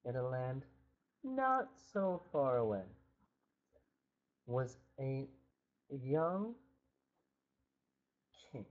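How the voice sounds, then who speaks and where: A man's voice: medium pitch, a little mellow, muffled recording. A man making up a fairytale aloud as he goes, drawing words out for theatrical emphasis. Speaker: storyteller. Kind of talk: improvised fairytale narration.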